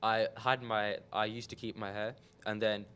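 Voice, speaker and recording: Young man, Punjabi native speaker, relaxed guest telling his story, lowish voice. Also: normal speed, smooth, soft background music. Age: young adult. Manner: relaxed, hesitant storytelling with fillers. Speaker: podcast guest.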